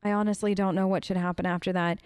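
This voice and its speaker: A woman's voice: mid-range voice, little pitch movement, quick pace, a little mellow, clear, resonant tone, no room sound. A woman hosting a let's play, improvising role-play dialogue and commentary in an informal, conversational way.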